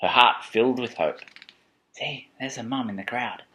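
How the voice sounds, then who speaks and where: A man reading a children's picture book aloud in an expressive, playful way, with chatty asides to a child. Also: mid-range voice, normal speed. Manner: expressive, playful, dramatic storybook emphasis. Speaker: parent reading a bedtime story to a child.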